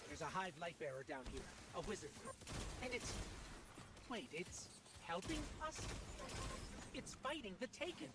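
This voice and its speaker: Game role: eager machine companion, expressive and lively, over heavy background noise. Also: male voice, sits high, a little slow, long pauses. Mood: alert, puzzled. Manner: earnest, expressive, questioning. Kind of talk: video game voice acting.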